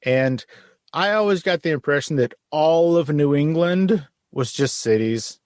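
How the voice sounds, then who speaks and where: A man's voice: fairly high pitch, animated, unhurried, a little mellow, no room sound, studio quality. A man from Texas hosting a casual podcast interview, jokey and self-deprecating. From San Antonio, Texas. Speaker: podcast host.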